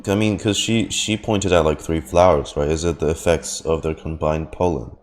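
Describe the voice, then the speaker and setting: A man's voice: rumbling low, fairly even intonation, normal speed. A man giving casual, rambling live reactions to a game, reading lines aloud with wry asides. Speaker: game streamer. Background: game music.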